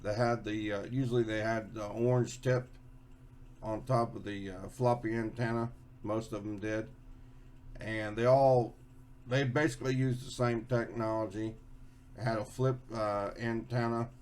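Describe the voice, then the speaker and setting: A man giving a casual, unscripted hands-on explanation as a hobby video host, halting and often repeating and rephrasing himself. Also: lowish voice, steady tone, a little mellow, slight background noise.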